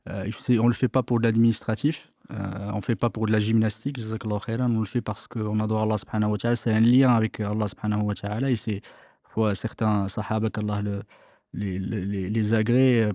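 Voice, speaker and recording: A man hosting a religious discussion show. He speaks with a mellow, dark tone and frequent restarts. His voice is close and dry, with no room sound. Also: on the low side, no echo. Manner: hesitant, many restarts, peppered with Arabic blessings. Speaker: host of an Islamic radio show. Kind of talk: religious discussion, asking questions.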